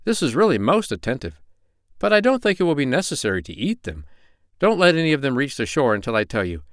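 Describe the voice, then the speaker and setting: A man's voice: mid-range voice, sing-song, fast talker, dry recording, studio quality, voice pushed up, close to an everyday voice. A man voicing a kindly but stern animal doctor in a children's story, lecturing in a firm, formal way. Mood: polite, assured.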